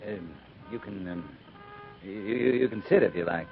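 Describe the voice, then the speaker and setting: An actor playing a laconic, awkward cavalry captain of the Old West, with an American accent and a halting, understated delivery. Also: male voice, sits low, over music. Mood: awkward, hesitant. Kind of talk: 1950s radio drama acting. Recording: tinny, hissy 1950s radio recording.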